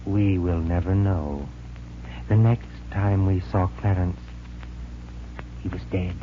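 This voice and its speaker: An actor in a 1940s radio mystery playing a courteous, formal and uneasy owner of a haunted mansion. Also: male voice, deep voice, fairly even intonation. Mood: grave, troubled. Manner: formal, polite, measured. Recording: muffled, noisy 1940s radio recording.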